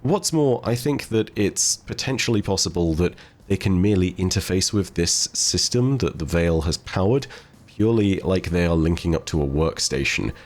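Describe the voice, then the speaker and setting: A man giving video game lore commentary in a measured, explanatory, wordy way. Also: sits low, darkish tone. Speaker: video host. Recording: music behind the voice. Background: background music.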